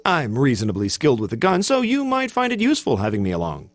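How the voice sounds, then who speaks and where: A man's voice: medium pitch, huge pitch swings, fast talker, dry recording. An American man voicing a stiff, proud, bookish teenage cadet in a video game, formal and hesitant. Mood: awkward. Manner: formal, hesitant, self-conscious.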